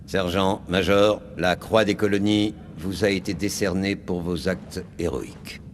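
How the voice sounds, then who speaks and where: Casting: senior officer, very low voice, ceremonial, echoing in a large hall, game cutscene. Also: male voice, steady tone, a little slow, slight background noise. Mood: formal. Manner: formal, ceremonial. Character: dignified senior male military commander, formal. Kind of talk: French video game dubbing. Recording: echoing hall, faint music.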